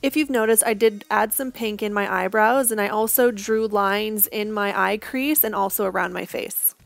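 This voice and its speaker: A young woman, hoarse from losing her voice, giving a casual step-by-step makeup tutorial voice-over. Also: medium pitch, fairly even intonation, normal speed, soft background music. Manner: casual, chatty, reassuring.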